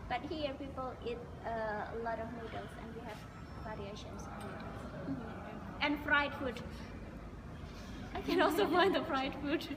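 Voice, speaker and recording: A woman with an Indonesian accent answering interview questions casually, explaining in an animated way and sometimes searching for words. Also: fairly high pitch, a little slow. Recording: echoey hall with loud background noise.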